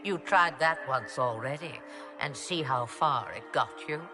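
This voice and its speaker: A woman's voice: a bass voice, unhurried, over music. An actress playing a sly, ancient witch grandmother in a video game, theatrical, drawling and archly mocking. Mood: haughty. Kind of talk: dramatic video game cutscene acting.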